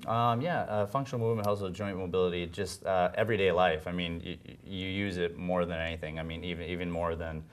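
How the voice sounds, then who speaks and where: Casting: male trainer, thirties, deep-pitched, informal talk show guest with halting delivery. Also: fairly even intonation. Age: 30s. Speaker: guest, gym owner and trainer. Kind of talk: informal explanation in an interview. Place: small TV studio set, indoors.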